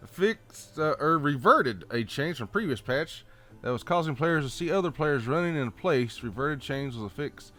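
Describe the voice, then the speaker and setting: A man with a Southern American accent reads update notes aloud in a folksy, halting way, adding off-the-cuff comments. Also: medium pitch, fairly bright tone. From Southern United States. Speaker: gaming channel host.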